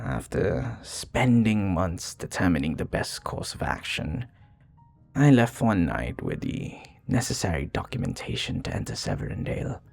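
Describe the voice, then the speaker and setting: Low, husky man as a remorseful vampire. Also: heavily acted. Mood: somber, reflective. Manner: hesitant, soft-spoken, sighing, confiding. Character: remorseful, flirty male vampire bartender confessing his past. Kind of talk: intimate ASMR audio roleplay.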